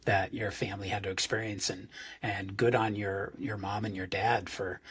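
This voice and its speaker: A man hosts a podcast, introducing his guest and then asking about her life. His voice is slightly husky, and his friendly questions stall on plenty of ums and uhs. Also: fairly low pitch, level tone, a bit bright. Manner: friendly, halting with many fillers. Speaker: podcast host. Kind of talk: casual interview.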